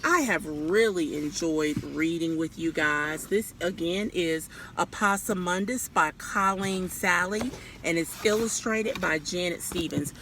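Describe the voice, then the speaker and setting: A woman's voice: on the low side, a little slow, hardly any pauses, fairly bright tone. A woman reading a children's story aloud outdoors, theatrical and speaking directly to young listeners. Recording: clear background noise, outdoors.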